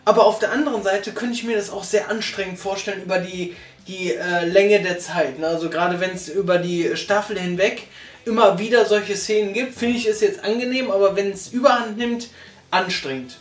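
A reviewer hosting a casual couch chat, talking haltingly with many restarts and tag questions. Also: mid-range voice, fairly even intonation. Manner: halting, restarts a lot, tags remarks with "ne?". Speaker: reviewer, host. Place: small living room, on a couch. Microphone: far camera mic. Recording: roomy, distant mic, faint music.

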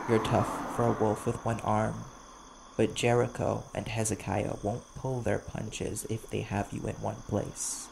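Man playing sharp-tongued vampire hunter, very creaky low voice, wary and blunt, audio roleplay. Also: flat delivery, a little slow, rough recording. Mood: wary, resolute. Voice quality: gravelly, creaky. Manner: guarded, blunt, earnest. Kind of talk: ASMR audio roleplay acting. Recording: clear background noise, chirping crickets.

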